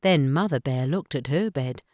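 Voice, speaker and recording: A woman narrating a children's bedtime story in careful, expressive phrases. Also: low-pitched, normal speed, no room sound, studio quality.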